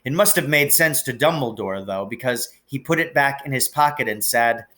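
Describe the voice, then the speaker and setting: A man's voice: mid-range voice, expressive, moderate pace, sharp tone. A man narrating a children's novel aloud as a storyteller. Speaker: reader, storyteller.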